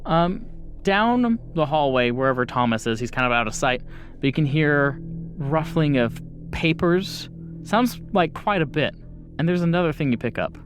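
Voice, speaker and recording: A man's voice: on the high side, lively intonation, moderate pace, faint music behind. A man narrating a tabletop game as game master, descriptive and informal.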